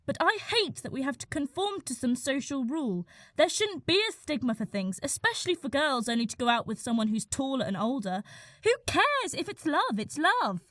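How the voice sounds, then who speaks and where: Opinionated young woman, played by an actress, emphatic, blunt, lively high voice, radio play dialogue. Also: moderate pace, no echo, studio quality. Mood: impassioned.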